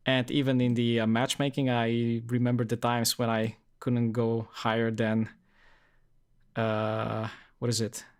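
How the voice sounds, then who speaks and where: A man's voice: medium pitch, completely flat delivery, slow, pauses often, smooth. Young male streamer from Poland, easygoing rambling chat, full of fillers, mid-range voice. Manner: rambling, many fillers and restarts. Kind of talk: casual live-stream chat.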